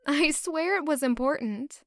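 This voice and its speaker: A high-voiced, earnest young servant. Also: female voice, measured pace, no room sound, studio quality. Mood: flustered, urgent. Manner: earnest, polite, hesitant. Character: polite, anxious young working woman in royal service. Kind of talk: scripted audio drama acting.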